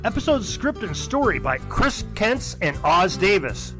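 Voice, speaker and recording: A man reading the closing credits of an audio drama in a crisp announcer's delivery. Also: high voice, sing-song, unhurried, over music.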